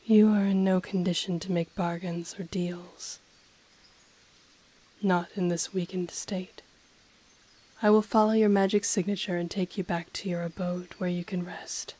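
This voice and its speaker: A woman voicing an ancient dragon familiar, possessive, teasing and tender, speaking softly and formally in an intimate ASMR roleplay. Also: fairly low pitch, flat delivery. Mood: soothing, commanding. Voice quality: soft, breathy, often whispering. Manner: slow, formal, teasing, purring delivery.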